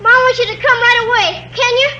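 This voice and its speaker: A woman's voice: extremely high pitch, steady tone, fairly quick, sharp tone. A woman playing an anxious young American farm boy in a 1940s radio drama. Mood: urgent, pleading. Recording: muffled, noisy 1940s radio recording.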